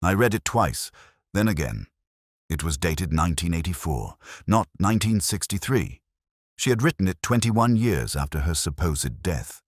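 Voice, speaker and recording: A man narrating a first-person detective story in a measured, brooding way, with deliberate pauses. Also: deep voice, big pitch swings.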